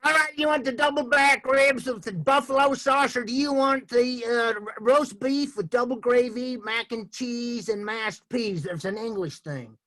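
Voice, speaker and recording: A man improvising a curt fast-food counter worker, rattling off menu items. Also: very high voice, sharp tone, no echo. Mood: impatient. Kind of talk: improv comedy.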